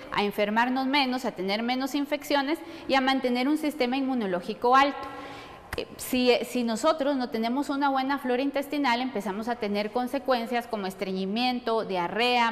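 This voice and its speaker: A middle-aged woman explaining things as a nutrition expert answering questions. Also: a little high. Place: studio set. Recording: long hall reverb.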